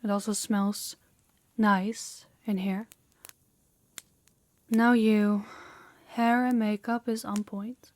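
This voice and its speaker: A woman's voice: medium pitch, flat delivery, slow pace, long pauses, a little mellow, dry recording, close to an everyday voice. A woman playing a dominant mafia boss in an audio roleplay, stern and condescending, giving orders and patronizing praise. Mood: exacting, impatient. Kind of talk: audio roleplay addressed to the listener.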